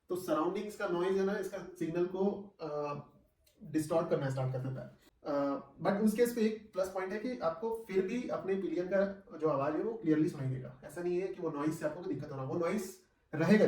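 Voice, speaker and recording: A man's voice: a little high, a little mellow. Echoey, distant-miked man reviewing casually. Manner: conversational, mixes in English phrases, often checks with short tag questions. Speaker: vlogger reviewing gear. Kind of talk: casual product review to camera. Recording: echoey room, distant microphone.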